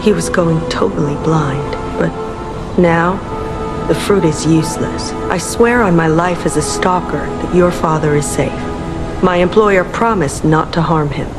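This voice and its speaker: An actress playing a bitter, vengeful villain in a video game, proud, world-weary and resigned. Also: female voice, on the low side, a little slow, lightly acted. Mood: bitter, confessional. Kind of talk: video game cutscene acting. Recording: loud music over the voice.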